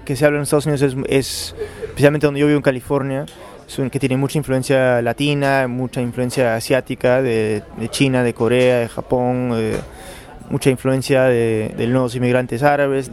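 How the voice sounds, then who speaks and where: A man speaks in an unscripted interview, weighing his words. He hesitates often and repeats himself as he builds his answers. His voice sits at an ordinary middle pitch. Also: darkish tone. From Peru. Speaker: interviewed novelist.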